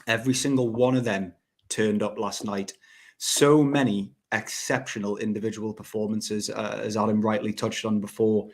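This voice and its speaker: A man's voice: on the low side, little pitch movement, moderate pace. A man of about thirty, an enthusiastic football fan on a podcast, speaking emphatically with a voice just back after losing it. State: voice recovering after being lost. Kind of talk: casual football match review.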